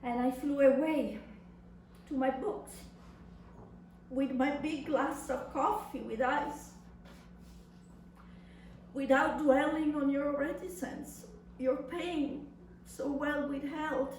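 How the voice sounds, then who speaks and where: Echoey, high-voiced woman acting an anguished daughter. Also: fairly even intonation, darkish tone. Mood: wistful, pained. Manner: impassioned, deliberate, theatrical delivery with long pauses. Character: anguished young adult daughter addressing her suffering mother. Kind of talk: dramatic literary monologue reading on stage. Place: reverberant indoor venue at a live reading. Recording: echoey room, distant mic, faint noise.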